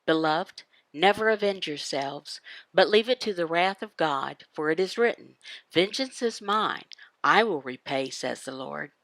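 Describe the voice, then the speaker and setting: Woman teaching scripture with wide, swinging pitch. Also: animated, a little slow, fairly bright tone, dry recording. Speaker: voice interpreter for a signed devotional. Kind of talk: devotional Bible teaching, voiced from sign language.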